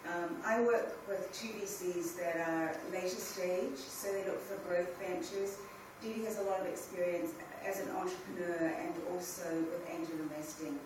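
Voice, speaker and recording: A woman's voice: lowish voice, steady tone, moderate pace, hardly any pauses. Middle-aged New woman in an echoing hall. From New Zealand. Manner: conversational, direct, restarts phrases. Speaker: panel speaker. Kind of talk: informal conference talk. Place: large conference hall. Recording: very echoey hall from far off, with ambient noise.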